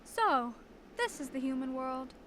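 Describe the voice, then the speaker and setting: A woman's voice: high-pitched, animated, unhurried, noisy background. A woman playing a bold, playful fairy princess in an audio drama, confident and teasing. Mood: curious. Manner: playful, self-assured.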